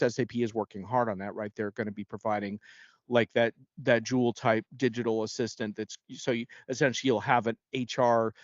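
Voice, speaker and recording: A man's voice: mid-range voice, dry recording. Man explaining informally in dull, dry audio. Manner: informal, explanatory, often seeks agreement. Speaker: guest technology analyst. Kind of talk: conversational expert commentary.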